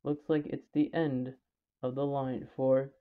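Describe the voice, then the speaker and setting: A man's voice: medium pitch, little pitch movement, a little slow. Man narrating over a tinny, phone-like recording. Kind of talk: scripted documentary narration. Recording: tinny, phone-like narrow band.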